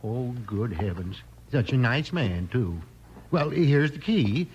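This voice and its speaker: An actor playing a fussy, dismayed small-town hotel clerk who frets and exclaims in a 1950s radio western. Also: male voice, mid-range voice, big pitch swings, slow pace, a little mellow. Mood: fretful, dismayed. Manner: fretful, doddery, exclaiming. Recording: muffled, noisy old radio recording.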